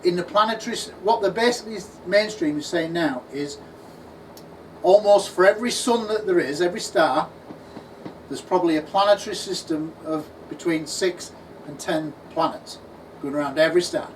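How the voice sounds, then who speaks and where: A man speaks to a small audience in a pub function room, his voice sitting high for a man. He tells his story with energy and now and then slips into imagined voices. The mic is some way off, and faint room noise sits under him. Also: normal speed, far from the mic, low-quality recording. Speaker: conference speaker. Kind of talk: conference talk to an audience.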